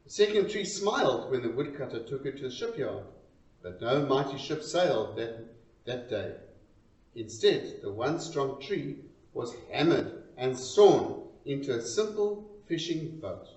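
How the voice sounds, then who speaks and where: A man reciting a storytelling poem in a dramatic, expressive and deliberate way, pausing between short phrases. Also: medium pitch. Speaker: reader of a poem. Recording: roomy, distant, dull lo-fi sound.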